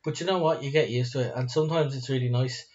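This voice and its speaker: A man's voice: mid-range voice, fast talker, a little mellow. Male Irish podcast co-host, conversational delivery, mid-range voice, slightly muffled recording. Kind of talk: casual chat.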